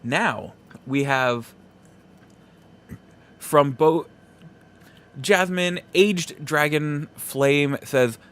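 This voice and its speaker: A man hosting a solo podcast, giving casual commentary in a halting, rambling way and repeating himself for emphasis. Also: fairly high pitch, a bit bright, clean tone. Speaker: podcast host.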